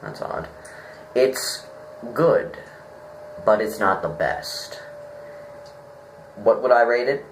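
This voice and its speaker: A man giving a casual, unscripted review, halting and rambling as he thinks aloud. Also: lowish voice, lively intonation, slight background noise, poor audio. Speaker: online story reviewer.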